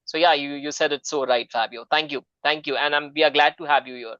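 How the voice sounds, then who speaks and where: A man's voice: on the high side, steady tone, fairly quick, pushed and bright, smooth, dry recording, muffled recording. Hesitant male seminar host. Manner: polite, hesitant with many fillers. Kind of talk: moderating an online seminar. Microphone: video call.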